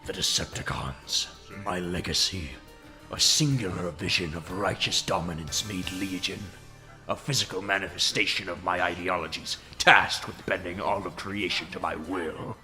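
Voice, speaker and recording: A man's voice: sits low, fairly even intonation, normal speed, bright, forceful tone, soft background music. A man playing a commanding, brooding robot warlord in a fan comic dub, with grandiose, menacing, theatrical oratory. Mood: grandiose, wrathful. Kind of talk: dramatic villain monologue and narration, fan comic dub.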